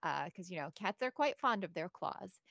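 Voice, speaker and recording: A woman's voice: on the low side, animated, moderate pace, creaky, fairly bright tone, no echo, muffled recording. A woman from Bosnia chatting casually as a podcast guest, joking and self-deprecating. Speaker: guest, pet product founder.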